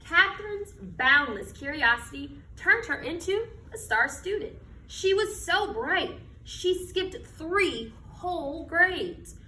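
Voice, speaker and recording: An American woman reading a picture book aloud to children like a teacher, with expressive, emphatic delivery and questions to her listeners. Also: very high voice, slow. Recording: echoey room, distant mic, background noise.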